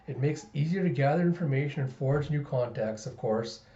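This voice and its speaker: A man playing a composed, serious father who is secretly a calculating spy, speaking in measured, formal sentences. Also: mid-range voice, mellow, echoey room, lo-fi sound, lightly acted.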